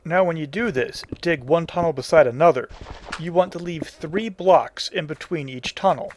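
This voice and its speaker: A man's voice: on the high side, moderate pace, darkish tone, slight background noise. A man hosting a casual video game tutorial, explaining each step in plain, folksy wording. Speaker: tutorial host.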